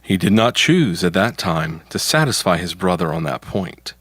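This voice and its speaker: A man's voice: deep voice, sing-song, normal speed, fairly bright tone, slightly rough, no echo. A man narrating a folktale from a script as the storyteller.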